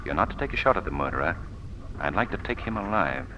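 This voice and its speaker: Mysterious crime-fighting man, low voice, animated delivery, authoritative, deliberate, vintage radio thriller, noisy recording. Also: highs cut off. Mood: confident, instructing. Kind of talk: old-time radio drama acting.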